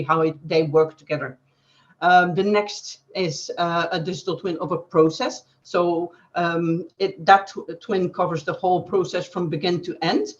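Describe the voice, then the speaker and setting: A woman from Belgium with a Flemish accent, explaining things as an interview guest in halting phrases with frequent pauses. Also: fairly low pitch. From Belgium, Flanders. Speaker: guest digital twin consultant. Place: online webcast. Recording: dull, band-limited web call audio.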